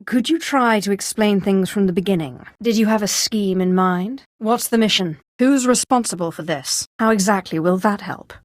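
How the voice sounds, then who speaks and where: An actress voicing a haughty, sardonic female Sith sorceress villain for a video game, with deliberate, theatrical delivery. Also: medium pitch, no room sound, clean studio sound, lightly acted. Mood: businesslike, commanding. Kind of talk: scripted video game character lines.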